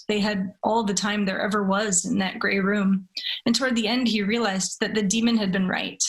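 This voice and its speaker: A woman who speaks in a measured, deliberate way, with careful phrasing. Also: medium pitch, fairly bright tone, low-quality recording. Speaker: story reader. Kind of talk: dramatic literary reading, then casual discussion.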